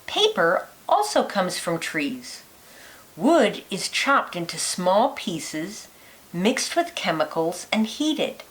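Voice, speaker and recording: A woman reading a children's nonfiction picture book aloud like a teacher, with deliberate, lilting emphasis. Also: mid-range voice, sing-song.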